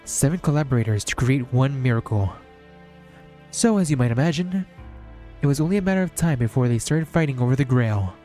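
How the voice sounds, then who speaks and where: A man's voice: mid-range voice, lively intonation, brisk, mellow, faint music behind. A man streaming as a VTuber, reading a visual novel aloud as narration.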